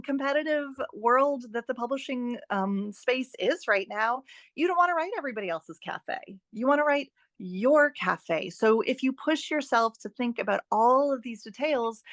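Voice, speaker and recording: A woman's voice: a little high, fairly bright tone, no echo. A woman giving advice as a guest in a podcast interview, speaking emphatically and encouragingly and often posing rhetorical questions. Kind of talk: podcast interview, explanatory talk.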